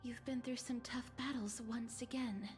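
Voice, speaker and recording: A woman voicing a gentle, resolute young heroine in a video game, speaking in a soft, composed, earnest way. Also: on the high side, steady tone, moderate pace, faint music behind, close to an everyday voice. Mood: earnest, solemn. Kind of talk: dramatic video game voice acting.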